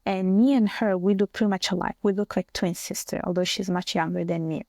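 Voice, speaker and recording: A woman's voice: fairly low pitch, steady tone, brisk, a little mellow, no room sound, clean studio sound. A woman from Italy with an Italian accent, a native Bengali and Italian speaker, telling personal stories as a podcast guest. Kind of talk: conversational personal storytelling.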